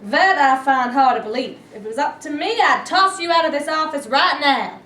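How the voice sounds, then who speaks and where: A woman's voice: high-pitched, lively intonation, moderate pace, a bit bright. A woman reading a blunt, hostile Southern union official, a man, in a staged play. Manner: blunt, combative. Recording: echoey room, distant mic, faint noise.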